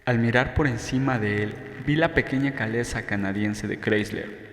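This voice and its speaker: A man's voice: mid-range voice, normal speed, faint music behind. A man reading a novel as an audiobook narrator, in scripted first-person storytelling. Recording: echoey reverberant room, faint background noise.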